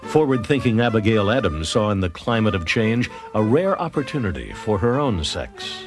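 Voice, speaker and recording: A man's voice: fairly low pitch, big pitch swings, moderate pace. A man delivering scripted historical narration as the narrator of a television documentary. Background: music.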